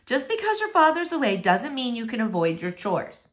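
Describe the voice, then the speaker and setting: A woman's voice: on the high side, big pitch swings, normal speed. A woman voicing a nagging, teasing, no-nonsense mother in a story.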